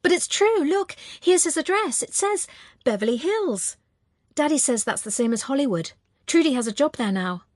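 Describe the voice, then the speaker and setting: Woman playing an earnest, high-pitched little boy. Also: lively intonation, normal speed, no echo, clean studio sound. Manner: innocent, earnest. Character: small boy, chatty, polite, matter-of-fact.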